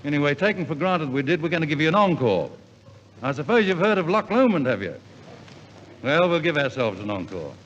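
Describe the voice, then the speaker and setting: A man's voice: on the high side, sing-song, brisk, a bit bright, slight background noise. A man compering a 1950s live radio variety show, heartily urging his audience to join in, on an old recording made in a concert hall. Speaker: radio show host and community singing leader. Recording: dull vintage radio recording in reverberant hall. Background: music and audience.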